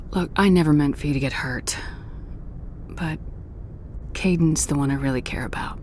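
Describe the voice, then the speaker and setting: Low-voiced, curt woman military commander. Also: unhurried, a little mellow, slight background noise. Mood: subdued. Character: tough, blunt female human military commander. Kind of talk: video game dialogue acting.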